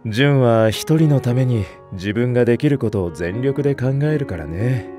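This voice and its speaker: An actor playing a calm, mature male head teacher who talks in a measured, mildly teasing way. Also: mid-range voice, faint music behind, lightly acted. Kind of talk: anime voice drama acting.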